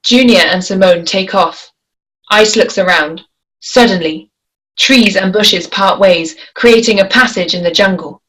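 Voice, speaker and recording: Woman narrating a screenplay, medium pitch. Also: measured pace, a bit bright, low-quality recording. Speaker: narrator reading stage directions. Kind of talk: scripted narration.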